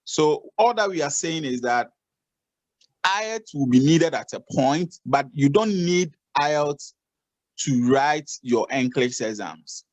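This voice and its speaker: A man's voice: a little high, lively intonation, measured pace, dry recording, rough recording. A man gives advice on a video call, often repeating and restarting his phrases. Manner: repetitive, restarts phrases, advisory. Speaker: nursing adviser on the call. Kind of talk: informal video call explanation.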